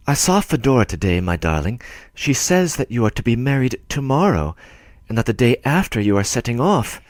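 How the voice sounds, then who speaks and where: A man's voice: medium pitch, no room sound, lightly acted. A man reading an audiobook as a humble, timid older clerk who rambles, breaks off and fusses tenderly in his letters. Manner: rambling, self-interrupting, tender.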